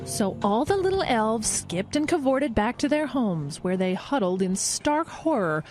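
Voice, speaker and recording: A woman's voice: on the high side, very animated, unhurried, music in the background. A woman playing a storybook narrator and announcer in a parody of a children's cartoon special. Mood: ominous storytelling.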